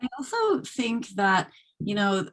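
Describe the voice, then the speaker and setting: A woman's voice: medium pitch, big pitch swings, slow, fairly bright tone, poor audio. A woman answering casually as an actor in an online Q&A, tentative and searching for her words. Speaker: actor on a panel. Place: remote video call.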